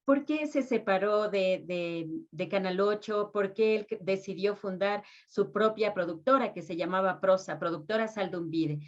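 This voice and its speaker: A slightly dull, lo-fi recording of a woman. Her voice sits at a middle pitch as she interviews conversationally, putting questions and adding background. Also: normal speed, few pauses.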